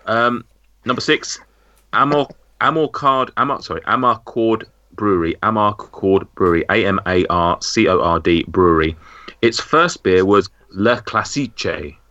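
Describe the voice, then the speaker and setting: Man, quizmaster, lowish voice, bright tone, spells names out, casual. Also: normal speed, highs cut off. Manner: reads questions, spells words out, apologetic slips. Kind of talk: casual quiz banter.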